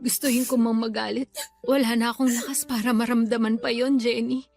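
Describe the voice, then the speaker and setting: A high-voiced mother, worn down by grief yet loving, speaks in a dramatic radio play. Soft music runs behind her. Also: level tone, fairly quick, gravelly. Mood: weary grief. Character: grieving, weary, loving mother of a sick child.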